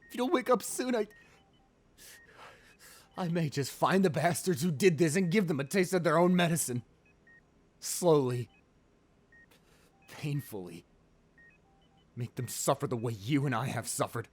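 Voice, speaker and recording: A man playing a ruthless but devoted lover in an intimate romantic ASMR roleplay, speaking in a halting, emotional, pleading way. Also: a little high, lively intonation, breathy edge. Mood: grim, vengeful.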